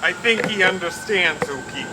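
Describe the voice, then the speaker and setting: A man's voice: high voice, moderate pace, close to an everyday voice. An actor playing a sadistic, mocking thug who serves as henchman, speaking with gloating politeness in a radio crime drama. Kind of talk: old-time radio detective drama acting. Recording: noisy, hissy radio play recording.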